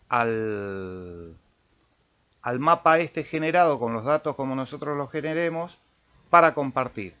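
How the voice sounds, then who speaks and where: A man with an Argentine accent walks through software in an informal tutorial style. His voice sits a little high and he takes his time, thinking aloud as he goes. The recording is somewhat muffled and lo-fi. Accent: Argentine Spanish. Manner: thinking aloud while demonstrating, checking in with "¿eh?". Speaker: amateur radio video tutorial host.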